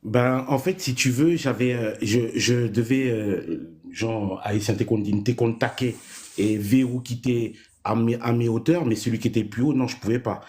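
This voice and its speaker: A man raised in France telling his childhood story as a guest, halting with many restarts. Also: mid-range voice, darkish tone, slight background noise. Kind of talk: personal testimony.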